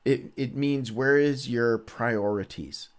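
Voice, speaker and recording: A man's voice: mid-range voice, takes it slowly. Man reading scripture aloud with casual commentary. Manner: informal, dramatizes quoted speech. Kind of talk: animated Bible read-along with casual commentary.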